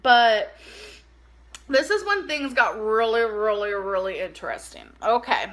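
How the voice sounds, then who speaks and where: A woman chatting casually through a personal story for a vlog, animated and informal, saying "like" a lot and acting out bits of dialogue. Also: on the high side, a little slow. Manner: animated, informal, acts out dialogue, heavy use of "like". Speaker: vlogger telling a personal story.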